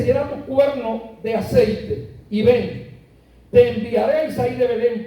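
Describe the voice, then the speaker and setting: A man preaching a sermon to a congregation, stressing key words by slowing down and rising in emphatic bursts. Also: very high voice, warm tone. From Puerto Rico. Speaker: pastor. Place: church sanctuary. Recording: echoey church heard from a distance.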